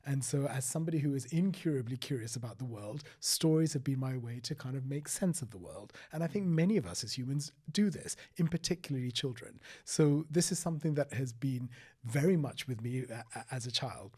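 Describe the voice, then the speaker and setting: A middle-aged man from Sri Lanka, talking reflectively as an interview guest, with frequent restarts and soft trailing phrases. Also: mid-range voice, lively intonation, fairly quick, husky. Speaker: guest, former paediatrician. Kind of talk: conversational interview.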